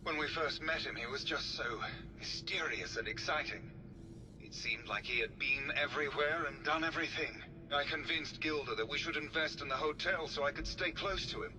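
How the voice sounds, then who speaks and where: An actor playing a theatrical, pretentious old robot artist in a video game, with florid, affected, dramatic speech. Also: male voice, a little high, moderate pace, background noise, close to an everyday voice. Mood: wistful. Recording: loud music behind the voice.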